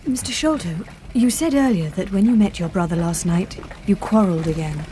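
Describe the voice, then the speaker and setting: An actress playing a nervous, well-bred young English lady in a radio drama, softly polite and restrained. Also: mid-range voice, fairly quick, close to an everyday voice. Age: young adult. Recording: clearly noisy ambient backdrop.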